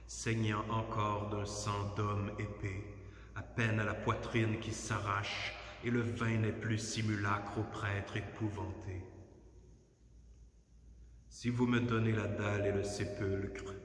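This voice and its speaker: A man giving a solemn, measured, declamatory poetry recitation inside a church. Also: lowish voice, level tone, a bit bright, faint music behind. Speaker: poetry reader. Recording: very echoey church, long reverb.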